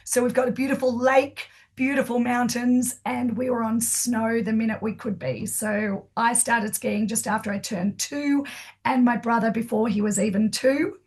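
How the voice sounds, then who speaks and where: A woman's voice: on the high side, steady tone, moderate pace, darkish tone, slightly rough. An Australian woman whose first language is Swiss German, telling her story with chatty enthusiasm as a guest in a podcast interview.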